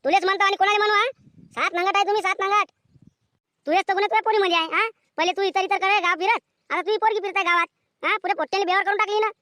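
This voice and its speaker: A sharp-tongued village neighbor a man acting as a woman quarrels in a cartoon skit. She is bright and very rapid, taunting in a rural Vidarbha Marathi accent through an artificially high voice. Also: steady tone, no echo, clean studio sound. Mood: taunting, indignant.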